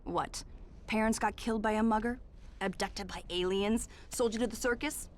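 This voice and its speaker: A woman's voice: medium pitch, moderate pace, slight background noise, natural voice. An actress playing a carefree, flirtatious female thief in a video game, speaking in a teasing, breezy way. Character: playful carefree female cat burglar, flirty.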